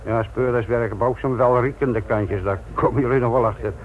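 A man's voice: mid-range voice, fairly even intonation, fairly quick, close to an everyday voice. Guy acting sarcastic older police boss lecturing rookies, old radio drama, muffled and noisy. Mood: sarcastic, stern. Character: gruff, sardonic senior police detective instructing trainee officers.

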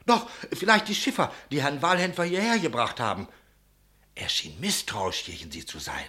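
Animated, anxious innkeeper. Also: male voice, medium pitch, moderate pace. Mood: uneasy, explaining. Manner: deferential, dramatic storytelling. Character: anxious, talkative male innkeeper giving testimony. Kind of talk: German radio play acting.

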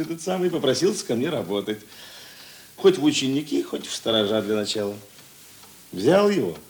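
An actor playing a seasoned police investigator in a radio drama, gruff but talkative, with a husky voice and halting, conversational delivery. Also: male voice, mid-range voice, darkish tone. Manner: musing, halting, conversational asides. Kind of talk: Russian radio drama dialogue.

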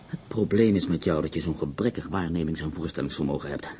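A man's voice: rumbling low, quick pace, dark tone, slight background noise. An actor playing a confident, scheming male prisoner of war on the run who talks in a persuasive, mocking way, in a radio play.

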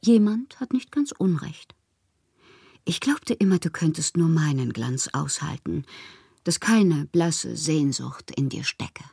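A woman's voice: low voice, animated, slow pace, vocal fry, mellow, breathy edge, no room sound, studio quality, exaggerated voice. An actress playing an impassioned, proud and whimsical woman poet who reads out her letters theatrically in a radio drama. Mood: wounded, cool. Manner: theatrical, capricious, swinging from wounded to playful.

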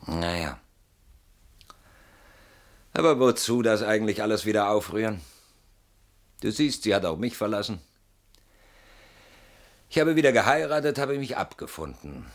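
A man's voice: lowish voice, expressive, a little slow, long pauses. An actor playing a weary, bitter middle-aged man in a theatrical German radio drama monologue, brooding and mocking, swinging between muttering and outbursts. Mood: weary, remorseful. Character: weary, bitter man haunted by a betrayed old friend.

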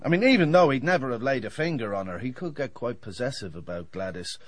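An actor playing a flippant, sardonic dentist with a roguish streak in a radio drama. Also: male voice, medium pitch, animated, fast, no echo, natural voice. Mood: frank, rueful. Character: wry, roguish, hard-drinking male dentist.

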